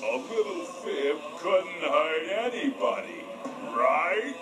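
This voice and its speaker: A man's voice: fairly high pitch, big pitch swings, slow pace. A man voicing a giant, menacing alien monster in a children's cartoon story, with a drawling delivery. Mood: sly. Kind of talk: children's cartoon read-along acting. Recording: echoing, distant, loud music underneath.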